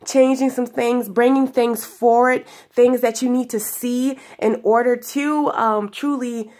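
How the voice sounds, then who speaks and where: A woman's voice: a little high, steady tone, darkish tone. Giggly woman with a stuffy nose. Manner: affectionate, giggly, drawn-out phrases. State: stuffy from sinus trouble. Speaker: spiritual guidance vlogger. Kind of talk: casual spiritual talk to viewers. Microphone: camera in front of her.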